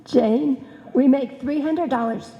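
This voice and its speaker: A woman's voice: high-pitched, slow, gravelly, warm tone, exaggerated voice. A woman playing an earnest secretary and friend in a live old-time radio comedy. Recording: dull, echoey hall stage recording.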